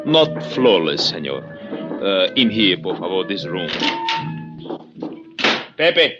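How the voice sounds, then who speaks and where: An actor playing a suave, menacing revolutionary host in a 1950s radio drama, deliberate and mock-courteous. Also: male voice, deep voice, sing-song, highs cut off, full character voice. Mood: suavely courteous. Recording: dulled old radio, music behind.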